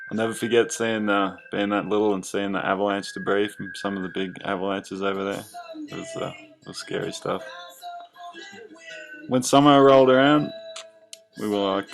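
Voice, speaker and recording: A young Australian man casually telling the story of his childhood, rambling with lots of fillers over soft background music. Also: lowish voice, gravelly, poor audio. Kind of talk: casual narration over drawings.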